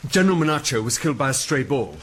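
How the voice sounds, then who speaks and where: Husky, anxious staff officer. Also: male voice, mid-range voice, sing-song, fast, fairly bright tone. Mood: anxious, formal. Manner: hesitant, deferential. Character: anxious, deferential staff officer bearing bad news. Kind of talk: period radio drama acting.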